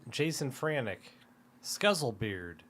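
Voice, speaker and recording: A man making short, flat remarks and recalling old acquaintances in casual chat. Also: medium pitch, slow.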